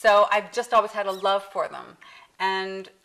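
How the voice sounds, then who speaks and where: A woman's voice: medium pitch, little pitch movement, normal speed, fairly bright tone, soft background music, rough recording. A middle-aged woman, an accent coach, patiently explaining and demonstrating sounds in a casual interview.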